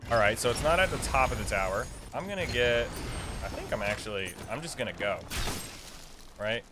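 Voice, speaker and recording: A man's voice: medium pitch, animated, brisk, background noise. A young man giving casual, informal game guide commentary, rambling and thinking aloud as he plays. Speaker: gaming video creator. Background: faint game music.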